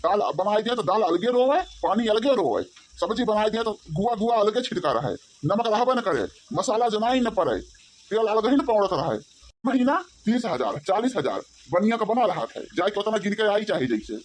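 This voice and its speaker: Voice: mid-range voice, big pitch swings, normal speed, slight background noise, natural voice. An actor voicing a grumbling, suspicious elderly village father-in-law in a comedy cartoon, rambling with a rustic Awadhi accent. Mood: accusing, complaining. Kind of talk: comic cartoon dialogue in village dialect.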